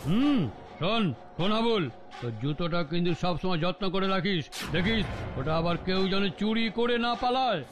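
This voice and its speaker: A man playing a cunning, excitable sports coach in a cartoon dub, emphatic and given to repeating words. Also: high-pitched, moderate pace. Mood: sly, scheming. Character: scheming male running coach, excitable and cunning. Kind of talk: cartoon dubbing.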